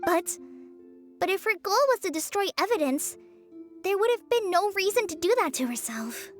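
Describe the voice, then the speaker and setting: A tiny, squeaky childlike companion. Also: female voice, expressive, moderate pace, faint music behind. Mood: puzzled. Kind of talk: dramatic video game dialogue.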